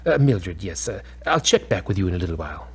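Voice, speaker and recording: A man's voice: low voice, big pitch swings, quick pace, slightly rough, exaggerated voice. Hesitant, earnest man acting as a doctor, varied intonation. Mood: earnest, reassuring. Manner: hesitant, formal, explanatory. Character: apologetic male hospital doctor, earnest and hesitant. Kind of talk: audio drama dialogue.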